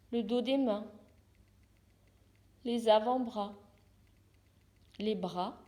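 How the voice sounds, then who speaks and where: A woman leads a guided relaxation. She speaks very slowly and calmly, giving short instructions and leaving space between them. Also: on the high side. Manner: calm, unhurried, short soothing instructions with pauses. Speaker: yoga therapist guiding relaxation. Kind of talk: guided yoga nidra meditation.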